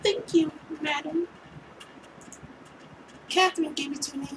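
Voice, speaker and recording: A woman plays a timid young girl in a visual novel. She speaks politely and softly in a high voice, with music behind. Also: fairly even intonation, unhurried, recorded from a distance, slight background noise, poor audio. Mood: shy. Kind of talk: visual novel character read-aloud.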